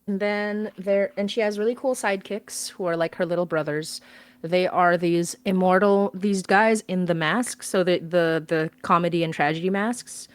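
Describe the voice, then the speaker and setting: A woman chatting casually on a livestream, animated and giggly, with geeky enthusiasm. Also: lowish voice, moderate pace, clean tone. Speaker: guest on a fundraising livestream.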